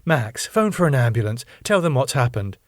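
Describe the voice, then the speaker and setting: A man voicing a calm, sensible older woman who speaks in a brisk, matter-of-fact way. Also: mid-range voice, dry recording, clean studio sound, lightly acted.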